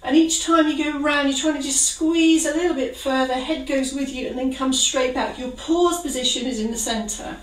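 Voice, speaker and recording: A woman leading a gentle exercise class, giving calm, encouraging step-by-step cues. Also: sits high, moderate pace, hardly any pauses, recorded from a distance. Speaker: exercise instructor. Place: kitchen. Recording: roomy sound from away from the mic.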